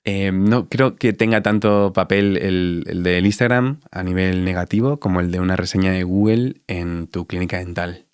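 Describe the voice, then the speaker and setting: Man, andalusian spanish accent, thirties, very deep, smooth clean tone, dry close sound, thoughtful, pauses and fillers. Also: steady tone, no room sound. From Spain. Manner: reflective, halting with many fillers. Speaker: dentist guest. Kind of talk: relaxed interview answers.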